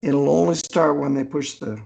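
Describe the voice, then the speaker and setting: A man's voice: a little high, steady tone, moderate pace, gravelly, a little mellow, soft background music, low-quality recording. An older man chatting casually as an author guest on a podcast, hesitant and rambling as he thinks aloud. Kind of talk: casual unscripted interview chat.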